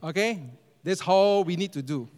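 A man with a Singaporean English accent preaching a sermon as pastor, animated and emphatic, repeating phrases for effect. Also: sits high, normal speed. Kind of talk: sermon to a congregation. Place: church auditorium. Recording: long reverb of a large church hall.

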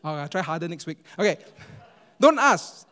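A man with a Singaporean English accent preaching a sermon as pastor, animated and emphatic, repeating phrases for effect. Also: fairly high pitch, normal speed. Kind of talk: sermon to a congregation. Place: church auditorium. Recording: long reverb of a large church hall.

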